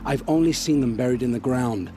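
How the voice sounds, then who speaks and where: An actor voicing a haunted, grim male scavenger who tells dark stories with intensity, in a video game. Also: mid-range voice, brisk, soft background music, natural voice. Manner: intense, dramatic storytelling.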